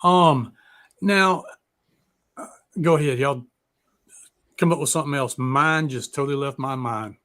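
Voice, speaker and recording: A male talk show host with a mid-pitched voice. He chats off the cuff, rambling through his thoughts with plenty of filler words and hesitations. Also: unhurried, long pauses, pushed and bright. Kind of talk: casual, unscripted chat.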